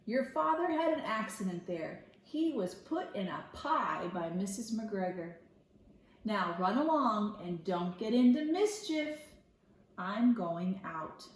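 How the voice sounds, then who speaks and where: A woman's voice: mid-range voice, lively intonation, takes it slowly, a little hiss or hum. Woman reading as old mama rabbit, storybook style, firm motherly warnings, echoey room. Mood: firm, cautioning.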